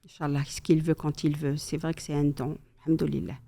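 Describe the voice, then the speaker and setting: Mellow-voiced mother telling family memories. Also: sits low, a little fast, vocal fry. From Algeria. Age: middle-aged. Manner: calm, thoughtful storytelling with pious asides. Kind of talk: casual chat.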